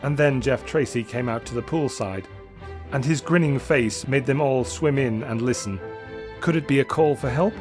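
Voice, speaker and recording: A man narrates an adventure story in a mid-range voice. His delivery is dramatic, deliberate and full of suspense. Music plays clearly behind the reading. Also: medium pitch.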